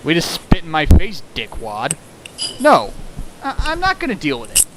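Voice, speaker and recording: A man's voice: a little high, wildly swooping pitch, moderate pace, background noise. A man hosting a streamed fan fiction reading, casual, playful and mocking as he reads and riffs. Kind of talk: casual riffing and dramatic reading.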